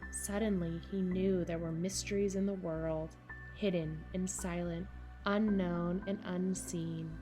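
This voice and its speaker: A woman narrating a children's picture book in measured, deliberate phrases with dramatic pauses. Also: fairly low pitch, steady tone, music in the background. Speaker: storybook reader for children.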